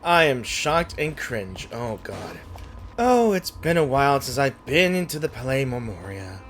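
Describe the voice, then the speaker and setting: A man's voice: medium pitch, big pitch swings, a little slow, fairly bright tone, soft background music. A man streaming a game with casual, jokey, reactive commentary. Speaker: gaming streamer.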